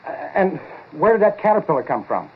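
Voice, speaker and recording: An actor playing an earnest, excitable lab researcher, urgent and insistent, in a 1940s radio drama style. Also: male voice, sits high, fairly quick, a little hiss or hum. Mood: earnest excitement. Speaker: supporting actor. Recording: tinny, muffled-detail 1940s radio recording.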